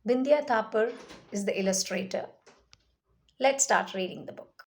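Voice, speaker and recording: A woman reading a children's picture book aloud as a storyteller, with expressive, deliberate emphasis. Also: on the high side.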